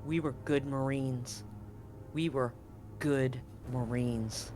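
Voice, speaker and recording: A man's voice: mid-range voice, fairly even intonation, takes it slowly, a little mellow, soft background music, natural voice. A man voicing a bitter, betrayed Marine, angry and accusing, confrontational and profane in a tabletop game. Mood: bitter, wounded. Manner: profane, confrontational, pleading with rhetorical questions.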